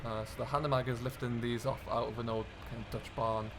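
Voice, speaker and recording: A man's voice: medium pitch, flat delivery, fast talker. A British man hosting a farming game role-play in casual commentary, chatting and thinking aloud as he works. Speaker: gaming video host playing a farm contractor. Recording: clear background vehicle and ambient noise.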